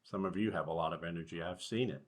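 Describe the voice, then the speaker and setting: A middle-aged American man with a fairly low, husky voice talks to children as their superintendent. He is conversational and reassuring, pausing a story for friendly asides from an office desk. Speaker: school superintendent reading aloud to pupils. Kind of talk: children's read-aloud with asides to listeners. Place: small indoor office.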